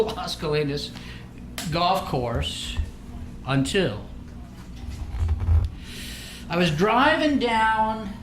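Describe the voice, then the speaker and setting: A middle-aged man from Ireland giving a casual, joking talk to a live group, rambling with frequent restarts. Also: a little high. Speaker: guest speaker. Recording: roomy meeting room, distant mic, noisy.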